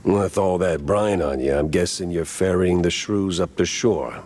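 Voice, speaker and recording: A man voicing a world-weary, wisecracking noir detective mouse in a game, deadpan, with an American accent. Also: sits low, a little slow, a little hiss or hum. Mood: hard-boiled. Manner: deadpan, dry.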